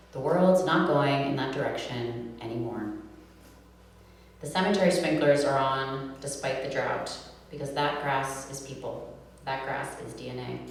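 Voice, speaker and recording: An American woman with a nasal voice, giving a live poetry reading and introducing poems casually in her own words. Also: very deep voice. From New York City, USA. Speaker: poet reading own work. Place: reverberant room at a live reading event. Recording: echoey hall, distant mic, humming noise.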